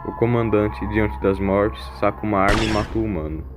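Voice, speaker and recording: Low-voiced man narrating an audio drama. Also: steady tone, fairly quick, music in the background.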